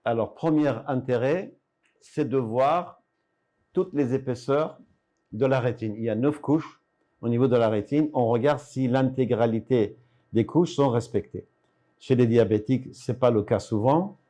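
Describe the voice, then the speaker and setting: A man's voice: mid-range voice, normal speed, mellow. Older Iranian-born man, doctor explaining his work. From Iran. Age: 60s or older. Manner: didactic, asks and answers his own questions. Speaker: ophthalmologist. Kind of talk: explanatory interview talk, presenting his work and equipment.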